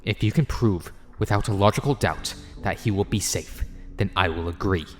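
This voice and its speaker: A man's voice: fairly low pitch, normal speed, in a large hall, soft background music, natural voice. A man voicing a stern, disembodied voice in someone's head for an audio drama, cold, logical and accusing. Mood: challenging.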